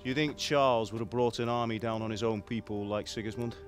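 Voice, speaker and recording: A male actor voices a cautious middle-aged blacksmith and father in a game drama. He speaks in a measured, homely way at a medium pitch, sounding like a man tired of adventure. Music plays behind him. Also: steady tone, lightly acted. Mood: firm, opinionated. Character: plain-spoken, cautious village blacksmith and father. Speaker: voice actor in a video game cutscene.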